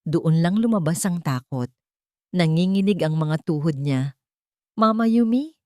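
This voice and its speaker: A woman's voice: low-pitched, unhurried, dark tone, no echo, studio quality. A woman with a Filipino accent reading a scripted dramatic story as its narrator.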